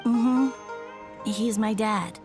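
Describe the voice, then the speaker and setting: A woman's voice: medium pitch, drawn-out words. A woman voicing a shy, polite little boy in an anime game, speaking hesitantly. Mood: subdued. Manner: hesitant, soft-spoken, polite. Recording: music under the voice.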